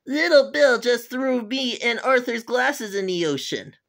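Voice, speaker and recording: A woman's voice: on the high side, expressive, a little slow, gravelly. A woman voicing an indignant, tattling young schoolboy in an amateur cartoon. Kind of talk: amateur animated video dialogue.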